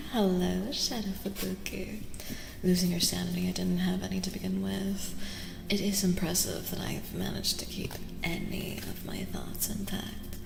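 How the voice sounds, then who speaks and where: A woman streaming soft-spoken ASMR tarot readings, chatting in an unhurried, rambling way and often slipping into whispers. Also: medium pitch. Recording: roomy reverb with clear background noise.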